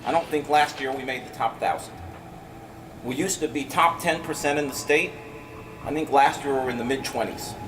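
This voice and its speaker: Parent at public comment, emphatic, distant stand mic in an echoey room. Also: male voice, mid-range voice, normal speed. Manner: emphatic, keeps asking "right?". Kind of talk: public comment at a school board meeting. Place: large school meeting room. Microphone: stand mic a few feet away. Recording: roomy, distant, noisy room sound.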